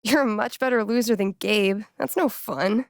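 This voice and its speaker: Young female game character, played by an actress: wry, playful friend and DJ type, casual delivery, mid-pitched with some fry, close dry recording. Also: moderate pace, dry recording, lightly acted. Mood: playful, teasing. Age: young adult. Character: wry young woman, teasing, loyal friend and radio DJ. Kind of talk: naturalistic video game dialogue acting.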